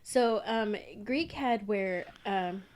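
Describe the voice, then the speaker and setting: A woman's voice: medium pitch, takes it slowly, darkish tone. A woman co-hosting a podcast, telling folk tales in a casual, irreverent, sweary way. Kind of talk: casual chat and informal storytelling.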